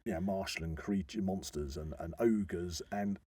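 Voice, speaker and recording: A man's voice: sits low, unhurried, mellow, husky. A man co-hosting a podcast, explaining a story in a hesitant, digressive, conversational way. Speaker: podcast co-host.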